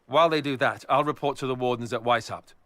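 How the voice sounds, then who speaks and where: An actor playing a good-humored, self-deprecating veteran warrior in a game cutscene. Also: male voice, mid-range voice, fast talker, a bit bright, no room sound, lightly acted. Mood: determined. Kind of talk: dramatic game cutscene dialogue.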